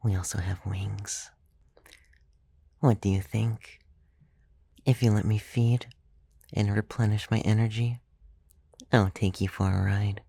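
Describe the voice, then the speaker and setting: A gentle, teasing old vampire played by a man murmurs in an intimate ASMR roleplay. The voice is mellow and gravelly with fry, unhurried and a little hesitant, recorded very dry and close. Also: fairly low pitch, fairly even intonation, dry recording, full character voice. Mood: coaxing, casual. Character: clumsy, gentle, centuries-old male vampire, teasing and coaxing. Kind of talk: ASMR roleplay, soft-spoken with whispered stretches. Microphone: close, dry microphone.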